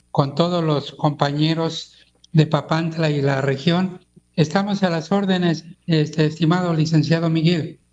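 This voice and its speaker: A man from Veracruz, Mexico, with Totonac as his first language, speaking as a guest by phone in a slow, formal way. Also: on the high side, a little mellow. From Coxquihui, Veracruz, Mexico. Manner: slow, formal, lists titles and greetings. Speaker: guest, regional head of a language academy. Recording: dull phone-line audio.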